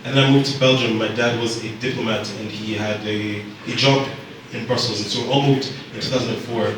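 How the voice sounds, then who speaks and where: A man's voice: mid-range voice, noisy background. Young man telling his story in an echoey hall. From Zambia. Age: 20s. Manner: relaxed, halting with many fillers and restarts. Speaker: speaker at a storytelling event. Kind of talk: personal storytelling talk. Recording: echoey room picked up from a distance.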